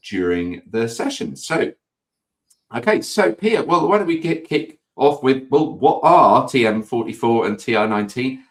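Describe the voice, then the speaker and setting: A man hosting a lunchtime webinar, chatty and light, with lots of uhs as he sets things up. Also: lowish voice. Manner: chatty, laughs easily, many uhs. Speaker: webinar host.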